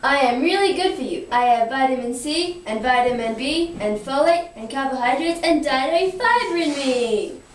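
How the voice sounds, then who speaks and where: A woman's voice: sits high, lively intonation, normal speed, hardly any pauses. A young female student performing lines in an amateur school puppet show, in her everyday voice. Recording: roomy, noisy, distant amateur recording.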